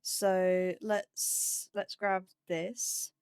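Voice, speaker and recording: A woman hosting a slow game series, chatting to herself as she builds, with phrasing and startled yelps when danger appears. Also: mid-range voice, little pitch movement, darkish tone, clean tone. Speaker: let's play host. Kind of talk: casual gameplay commentary.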